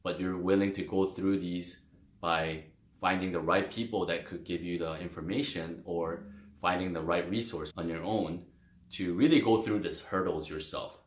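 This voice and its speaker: Low-voiced man. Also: fairly even intonation, moderate pace. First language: Korean. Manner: loose and friendly, many "like" and "you know" fillers. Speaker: video host explaining a career. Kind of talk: casual explanatory talk to camera. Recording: roomy echo.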